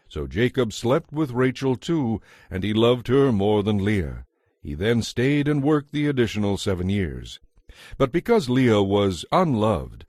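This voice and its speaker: Deep, expressive male Bible narrator. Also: no echo, clean studio sound. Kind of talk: dramatized scripted Bible narration.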